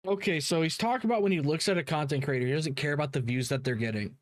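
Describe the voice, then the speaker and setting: A man's voice: fairly high pitch, quick pace. A man giving blunt, mocking, profanity-laced reaction commentary in a casual style. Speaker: reaction video host.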